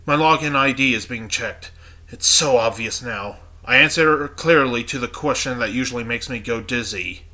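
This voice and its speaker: Man reading a haunted young student heroine's monologue, congested, very bright tone. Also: medium pitch, normal speed. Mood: uneasy. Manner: reading inner monologue aloud. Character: anxious young female student, uneasy and haunted.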